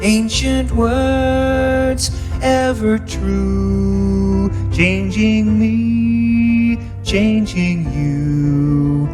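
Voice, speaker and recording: A woman singing a devotional hymn as a worship singer, slowly and with musical accompaniment. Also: medium pitch, fairly even intonation, over music.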